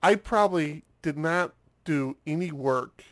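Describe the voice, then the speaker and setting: A man's voice: fairly high pitch, measured pace, fairly bright tone. A man co-hosting a podcast in casual chat, still congested from a cold and rambling, repeating words as he restarts. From United States. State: congested, getting over a cold. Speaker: podcast co-host.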